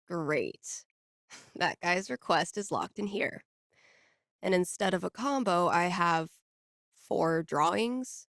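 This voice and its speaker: A woman's voice: fairly low pitch, lively intonation, takes it slowly, creaky, pauses often, a bit bright, dry recording, exaggerated voice. A woman voicing a wry, laid-back young DJ in a video game, talking casually to herself with dry sarcasm. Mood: dry, amused. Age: young adult. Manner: dry, sardonic, conversational.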